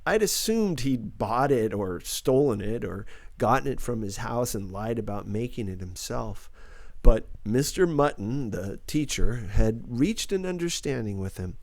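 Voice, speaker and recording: A man's voice: medium pitch, a little mellow, no room sound. A man narrates a first-person novel as an audiobook. His voice sits in a middle register, and the recording is dry and close, with very little room sound.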